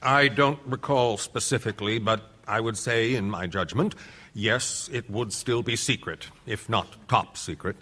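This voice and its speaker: A man's voice: lowish voice, a little slow, bright, forceful tone. An actor playing a guarded, evasive male government official testifying as a witness.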